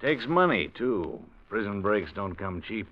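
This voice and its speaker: An actor playing a cool, knowing male crook who bargains calmly, in a muffled old radio drama. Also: fairly low pitch, measured pace. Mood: menacing. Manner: cool, knowing, mildly menacing. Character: smooth, low-voiced male crook. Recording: muffled 1940s radio recording.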